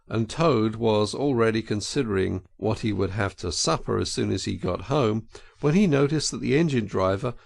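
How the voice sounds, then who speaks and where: A man narrating an audiobook in an expressive, theatrical and deliberate storytelling style. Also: mid-range voice, vocal fry.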